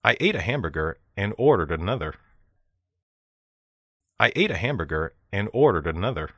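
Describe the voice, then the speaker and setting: Male voice, lower register with a lively, varied melody, careful repetition for learners, dry studio sound. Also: moderate pace, no echo. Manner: clear, careful repetition of each sentence. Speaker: listening practice speaker. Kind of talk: slow read example sentences.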